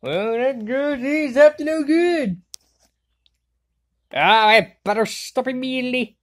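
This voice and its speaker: A woman voicing an excitable, wisecracking girl in an amateur anime comedy fandub, full of exclamations. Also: high-pitched, slow. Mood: excited. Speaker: fan dub voice actor. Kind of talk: amateur cartoon fandub line readings.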